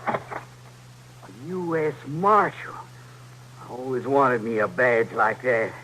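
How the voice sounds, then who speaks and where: An actor playing a slow-witted, childishly stubborn outlaw sidekick in an old-time radio Western, speaking simply and insistently with a rural American West accent. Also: male voice, medium pitch, animated. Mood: pleading, stubborn. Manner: simple, plaintive, insistent folksy speech. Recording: old radio recording with faint hum and noise.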